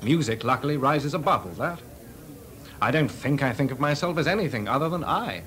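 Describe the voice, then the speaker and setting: An actor playing a witty young composer in a 1980s radio drama, talking dryly and ironically. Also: male voice, medium pitch, lively intonation, a little fast, fairly bright tone, close to an everyday voice. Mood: wry. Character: composer Delius, young man, wry and witty. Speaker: lead actor. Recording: party crowd behind.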